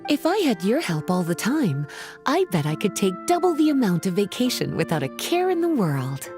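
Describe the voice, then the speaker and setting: A woman's voice: mid-range voice, big pitch swings, normal speed, a little mellow, close to an everyday voice. Woman playing a languid game sorceress, affectionate, honeyed, swooping intonation, music behind. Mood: affectionate, teasing. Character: teasing, languid grown-up sorceress, affectionate and elegant. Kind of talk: English video game voice acting.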